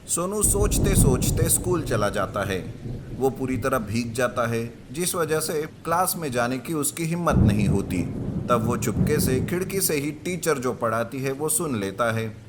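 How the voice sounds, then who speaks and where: Male narrator for children's moral tales: mid-pitched, scripted storytelling, loud noise behind. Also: animated, measured pace.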